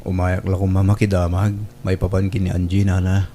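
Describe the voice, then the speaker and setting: A man's voice: low voice, monotone, brisk, dark tone, slight background noise, natural voice. A man playing a devoted, respectful young provincial suitor in a family radio drama. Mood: worried, resolute.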